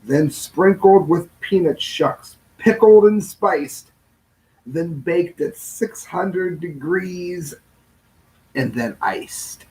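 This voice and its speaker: A man with a fairly high-pitched voice reads a rhyming picture book for children. He takes it very slowly, with a theatrical sing-song lilt, drawing out phrases and dropping the endings. The reading is unpolished, with occasional slips over the words. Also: darkish tone, rough recording. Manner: stumbles and corrects himself while reading. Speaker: storybook reader. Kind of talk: read-aloud children's storybook in rhyme.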